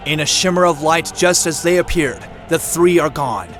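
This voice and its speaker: A man's voice: on the high side, moderate pace, over loud music. A man reading dramatic scripted narration for an audio drama.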